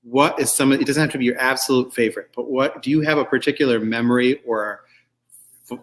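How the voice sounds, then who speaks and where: A man's voice: mid-range voice, steady tone, quick pace. A man hosting a casual community interview show, bantering and often restarting his sentences as he goes. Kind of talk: casual, joking interview chat.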